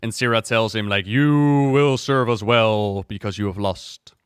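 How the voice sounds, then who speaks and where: A man's voice: on the low side, unhurried, over music. A man giving casual livestream reaction commentary as a game lore YouTuber, explaining things in a chatty, informal way.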